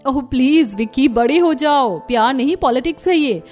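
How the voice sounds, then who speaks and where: A woman voicing a haughty, greedy young daughter-in-law in a cartoon drama, sharp and bossy, over music. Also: high-pitched, animated, normal speed. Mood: manipulative. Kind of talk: Hindi cartoon story dub.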